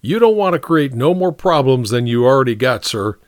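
A man's voice: medium pitch, big pitch swings, normal speed, dry recording, clean studio sound, voice pushed up, natural voice. A man voicing a shrewd, unflappable first sergeant in an audiobook, plain-spoken yet formally respectful. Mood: steady, respectful. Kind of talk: audiobook character dialogue.

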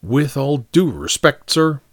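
A man's voice: medium pitch, very animated, takes it slowly, gravelly, dry recording, clean studio sound, pitched above the performer's own voice, full character voice. Man playing an unflappable, blunt army sergeant. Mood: steady, respectful. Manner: deferential yet plain-spoken, colloquial. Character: shrewd, unflappable army first sergeant, blunt but formally respectful. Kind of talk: audiobook character dialogue.

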